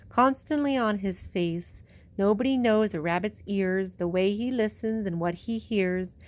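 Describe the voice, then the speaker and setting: A woman with a mid-range voice reads a picture book aloud for young children. She tells it expressively, stretching out phrases in a bedtime-story style. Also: mid-range voice.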